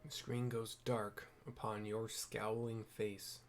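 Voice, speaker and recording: A man's voice: fairly low pitch, flat delivery, slow pace. Casual man commenting over noisy game audio. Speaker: gameplay narrator.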